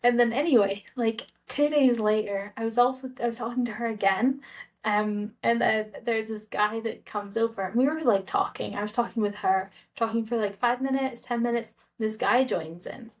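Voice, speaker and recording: A woman's voice: a little high, moderate pace. Casual Scottish woman telling a story. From Scotland. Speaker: travel vlogger. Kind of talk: casual personal storytelling to camera.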